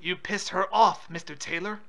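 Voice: mid-range voice, steady tone, normal speed, a bit bright. A prank caller on a phone call, confrontational and insistent with questions.

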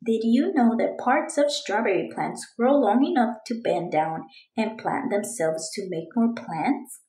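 A woman reading a storybook aloud for children in an expressive, deliberate, clearly phrased way. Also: on the high side, echoey room. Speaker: children's book reader.

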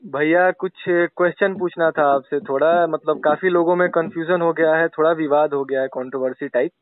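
A man's voice: a little high, fairly even intonation, a little fast, dry recording. A man working as a reporter, conducting an informal interview by phone in a polite, deferential manner. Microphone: phone call. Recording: tinny, dry phone-call line.

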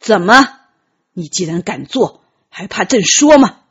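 A jealous emperor , performed by a woman, barks cold commands through gritted teeth. The voice is husky and menacing, in a slightly dull audiobook recording. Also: medium pitch, lively intonation, a little slow, full character voice. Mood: jealous rage.

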